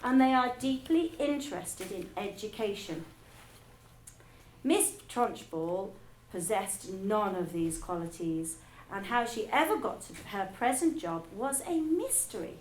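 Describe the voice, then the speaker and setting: A woman's voice: mid-range voice, unhurried, a little hiss or hum. Woman teacher reading a story aloud. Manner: expressive storytelling. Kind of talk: reading a novel aloud to children. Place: school room. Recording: echoey room with faint ambience.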